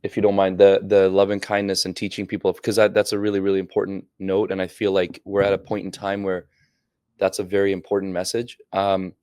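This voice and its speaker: A man hosting an interview podcast, reacting briefly while the guest speaks and then asking measured questions. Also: deep voice, monotone, a little mellow, clean tone, dry recording, clean studio sound. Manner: brief encouraging backchannels. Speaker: podcast host.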